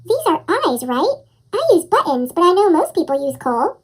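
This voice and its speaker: A narrator voicing an earnest, curious young boy in a storybook, with a squeaky chipmunk voice effect. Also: sing-song, moderate pace, full character voice. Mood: curious, puzzled. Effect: chipmunk voice pitch-up effect.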